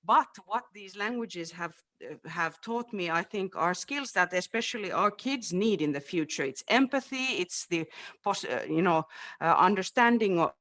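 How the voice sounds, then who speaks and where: A man's voice: sits high, animated, normal speed, bright, forceful tone. A man whose first language is Finnish, speaking as a city education official in a webinar discussion, hesitant, with many fillers and restarts. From Finland. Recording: video call.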